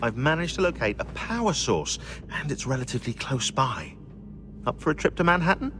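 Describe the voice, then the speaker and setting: A man's voice: medium pitch, sing-song, brisk, music in the background, natural voice. An actor playing a sarcastic young male ally who teases his teammates, in video game dialogue. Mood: wry. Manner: sarcastic, teasing. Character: sarcastic young male tech-savvy ally, dry joker. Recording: noisy game ambience.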